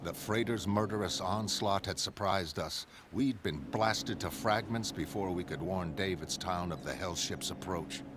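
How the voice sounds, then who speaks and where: A man playing an anguished, grave shipwrecked sailor who narrates a pirate horror tale in solemn, measured, literary phrases. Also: low voice, background noise. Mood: anguished, bleak. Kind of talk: brooding first-person audiobook narration. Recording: music under the voice.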